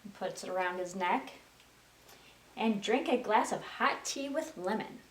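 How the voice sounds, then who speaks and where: High female voice, very animated, sing-song children's story time, roomy distant recording. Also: measured pace. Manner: sing-song, prompting listeners with questions. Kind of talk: read-aloud story time for young children. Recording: roomy, distant mic with faint noise.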